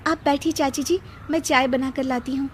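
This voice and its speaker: A woman's voice: sits high, fairly even intonation, moderate pace, a little hiss or hum, voice pushed up, natural voice. A woman voicing a timid, apologetic young daughter-in-law who is eager to please, politely deferential, in a cartoon moral story. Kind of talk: dramatized Hindi moral story dialogue.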